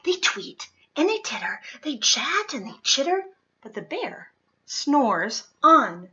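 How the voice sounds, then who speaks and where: A woman's voice: high voice, sing-song, slow, pushed and bright, highs cut off. A woman reading a children's picture book aloud expressively, dropping to whispers at times. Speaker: storybook reader.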